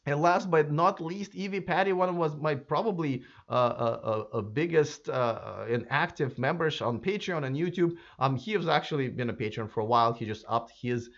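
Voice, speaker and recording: A hoarse man hosting his own channel, chatting casually and speculating aloud, with many fillers and restarts. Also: fairly high pitch, normal speed, hardly any pauses, muffled recording. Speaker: YouTube electric car channel host. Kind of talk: casual solo commentary to camera.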